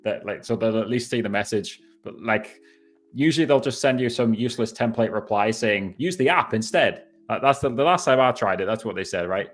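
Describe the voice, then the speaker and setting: Thirties man, northeast English accent, lowish voice, rambling chatty host of a live Q&A, faint music bed. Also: fairly quick. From northeast England. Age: 30s. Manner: rambling, self-correcting, laughs often. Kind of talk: casual live Q&A. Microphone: headphones on.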